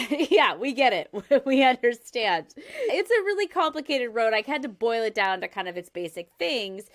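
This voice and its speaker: A woman's voice: a little high, sing-song, moderate pace. A woman in her thirties hosting a casual chat, laughing often and explaining her research step by step. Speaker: podcast host presenting research.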